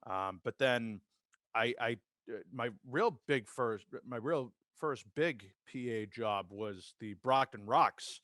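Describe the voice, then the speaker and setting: A man's voice: medium pitch, slow, fairly bright tone, no echo. Male podcast guest, American accent, mid-range voice, conversational storyteller, very dry sound. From Massachusetts, United States. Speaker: podcast guest, sports public address announcer and radio host. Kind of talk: casual conversational interview, career storytelling.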